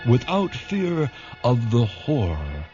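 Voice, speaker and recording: A grave male announcer introduces a sci-fi radio tale. His delivery is portentous and animated in pitch, full of deliberate pauses. Music plays beneath him on a slightly dull recording. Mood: grave, ominous. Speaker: radio drama narrator. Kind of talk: scripted dramatic narration.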